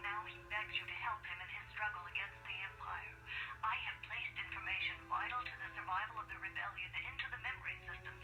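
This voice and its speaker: An actress playing a dignified, resolute young princess in an audio storybook, formal and urgent. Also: female voice, mid-range voice, close to an everyday voice, rough recording. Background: music.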